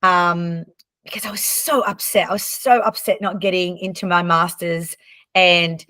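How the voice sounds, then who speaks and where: Woman in her 40s, first languages Arabic and French, candid chatty guest, lowish voice, dry close sound. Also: steady tone, unhurried, bright, forceful tone, no room sound. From Lebanon. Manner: chatty and candid, frequent "um" and restarts. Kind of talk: casual conversational storytelling.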